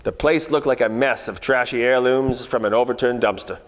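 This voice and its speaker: A man's voice: mid-range voice, moderate pace, natural voice. Man acting a hardboiled 1940s detective in echoey hall. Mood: wry narration. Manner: wry, world-weary, slangy deadpan narration. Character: hardboiled, wisecracking 1940s male private detective, burly and cocky. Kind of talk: 1940s radio noir parody acting. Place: theatre production shot before green screen. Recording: echoey hall, faint noise.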